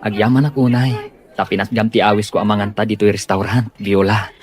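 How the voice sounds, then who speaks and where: A man's voice: lowish voice, little pitch movement, brisk, over music, close to an everyday voice. A man playing a courteous, smitten young suitor, polite and earnest, in a comedy radio drama. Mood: earnest courting.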